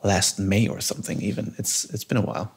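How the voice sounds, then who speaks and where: A man's voice: fairly low pitch, flat delivery, a little fast, vocal fry, slightly rough. A man hosting a podcast, welcoming listeners and chatting enthusiastically with a guest, with plenty of fillers and restarts. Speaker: podcast host. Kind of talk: podcast intro and interview.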